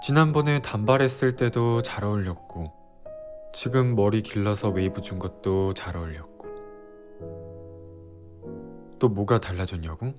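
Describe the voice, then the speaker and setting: An actor playing an earnest young boyfriend who is clumsy with words, speaking straight to his girlfriend and pleading with her softly. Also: on the low side, normal speed, music in the background, natural voice. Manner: pleading softly, then ranting in a rush. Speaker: boyfriend in a role-play drama. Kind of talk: listener-directed role-play drama.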